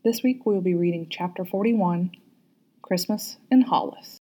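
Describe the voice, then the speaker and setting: A woman narrating a story aloud as a guest reader, with an expressive, teacherly way of addressing listeners. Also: on the low side, moderate pace, heavy background noise.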